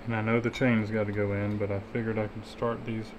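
A man's voice: lowish voice, little pitch movement, fast, background noise. A man in his thirties, a mechanic host chatting casually as he rebuilds an engine in his garage workshop. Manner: easygoing, talks while working with his hands.

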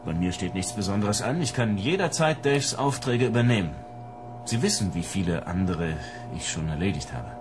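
A man's voice: lowish voice, animated, normal speed, over music, lightly acted. A man acting the part of an eager, confident police officer in a radio drama. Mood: keen, confident.

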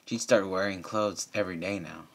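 A man's voice: low-pitched, fairly even intonation, normal speed, a bit bright. A man reading fan fiction aloud in an amateur storytelling style, now and then stumbling over words.

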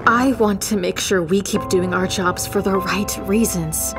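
Lady playing a compassionate woman cop in an audio drama, insistent and pleading, middling pitch. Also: a little slow, music in the background, natural voice. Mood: earnest, pleading. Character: earnest, stubborn, compassionate female homicide detective.

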